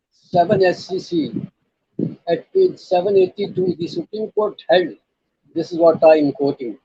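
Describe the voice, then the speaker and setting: An older man with an Indian accent and a raspy voice, giving a formal, emphatic legal talk and quoting judgments deliberately. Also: sits high, mellow, no room sound, dull audio. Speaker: lawyer and channel host.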